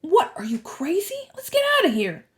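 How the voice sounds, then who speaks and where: Woman playing a young boy hero, alarmed, protesting, flustered, high pitch, lively, audiobook. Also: normal speed. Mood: alarmed, incredulous. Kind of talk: audiobook character voice.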